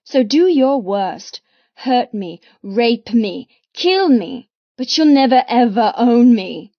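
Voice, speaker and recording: A woman's voice: a little high, animated, slow pace, dry recording, dull audio. A woman voicing a defiant young captive heroine in an audiobook, with emphatic, impassioned delivery in short, spat phrases. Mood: furious defiance. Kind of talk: dramatic audiobook character dialogue.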